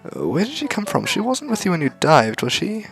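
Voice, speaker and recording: Man acting as anxious anime girl, high pitch, soft-hearted, visual novel character. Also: wildly swooping pitch, fairly quick, vocal fry, exaggerated voice. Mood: puzzled.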